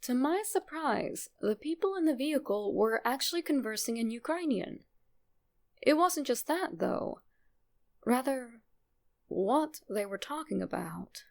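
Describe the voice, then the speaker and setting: A woman with a creaky voice reading a scripted first-person horror story as a narrator. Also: high voice, expressive, unhurried.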